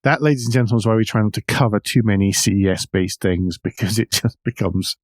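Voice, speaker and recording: A man's voice: fairly low pitch, quick pace, dry recording, clean studio sound. A middle-aged man co-hosting a podcast, chatting casually and wryly about the news. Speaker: podcast co-host. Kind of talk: casual discussion of car news.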